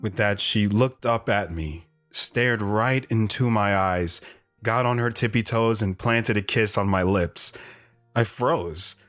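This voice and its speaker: A man playing a shy, hesitant wanderer who narrates his own story in an audio drama, reflective in tone, with soft guitar underneath. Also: lowish voice, slow. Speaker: narrator and guest.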